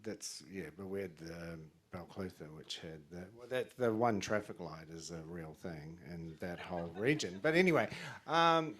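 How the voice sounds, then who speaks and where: A man, moderating a writers' panel in a relaxed, friendly way. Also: deep voice, moderate pace, few pauses.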